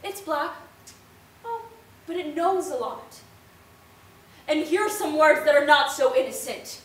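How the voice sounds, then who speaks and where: A woman's voice: sits high, expressive, unhurried. A woman playing an earnest young Puerto Rican-American in a stage play, speaking dramatically and emphatically. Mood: impassioned, mournful. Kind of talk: theatrical stage acting. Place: indoor stage, recorded from far off. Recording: roomy, distant stage recording, faint noise.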